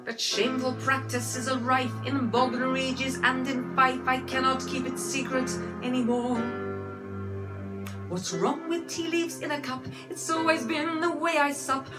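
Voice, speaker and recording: Loud guitar-backed music accompanies a woman with a fairly high voice. She performs as a music-hall cabaret entertainer, mixing comic song and patter. Her delivery is very unhurried, with a playfully genteel, rambling air. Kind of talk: comic music-hall singing with chatty patter between songs. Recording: music mixed loudly with the voice.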